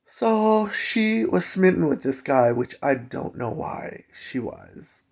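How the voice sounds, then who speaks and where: A man's voice: on the high side, very animated, slow, gravelly, a little mellow. A man telling a personal story in a casual, chatty, conversational vlog style with emphatic asides. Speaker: storytelling vlogger.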